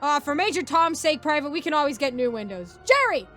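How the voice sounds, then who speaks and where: A woman's voice: high-pitched, moderate pace, fairly bright tone, soft background music, voice pushed up. A woman voicing a bossy, hot-tempered male space sergeant who barks orders in a comic story. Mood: furious, barking. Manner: barking drill-sergeant commands.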